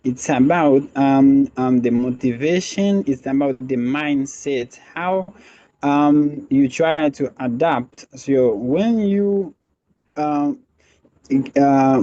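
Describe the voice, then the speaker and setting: A man's voice: fairly high pitch, dark tone. A man answering a class discussion question, hesitant and searching for words. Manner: hesitant, many fillers and restarts. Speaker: student. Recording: muffled lo-fi online call audio.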